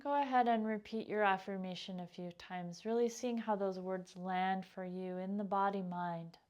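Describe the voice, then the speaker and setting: A woman leading a guided meditation as a yoga teacher, speaking gently and without hurry, her phrases trailing off softly. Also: mid-range voice, fairly even intonation, moderate pace, dull audio.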